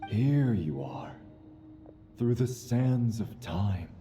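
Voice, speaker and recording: A man's voice: lowish voice, lively intonation, extremely slow, warm tone, a little hiss or hum. An actor playing a dead father's freed soul in a video game, speaking solemnly and tenderly. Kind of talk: dramatic video game voice acting.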